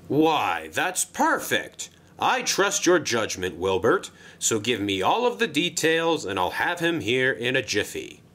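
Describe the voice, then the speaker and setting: A man voicing a pompous, fussy male railway controller who talks in a brisk, jolly and formal way. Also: medium pitch, big pitch swings, sharp tone, full character voice. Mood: cheerful.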